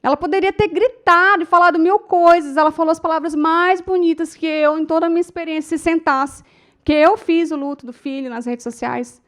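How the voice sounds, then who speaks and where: A woman's voice: very high voice, fairly even intonation, brisk, a bit bright. A middle-aged Brazilian woman teaching public speaking to a class, telling stories with feeling and often turning to her listeners. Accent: Brazilian Portuguese. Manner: emotive storytelling, addresses the audience as "gente". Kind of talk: lecture to a class.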